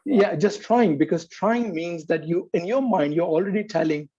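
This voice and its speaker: A middle-aged man coaches over a video call, earnest and emphatic, driving his points home by repeating them. His voice is mellow, husky and a little high for a man. The call audio sounds dull and lo-fi. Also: moderate pace. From Pakistan. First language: Urdu. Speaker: leadership coach. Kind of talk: motivational coaching in an online session.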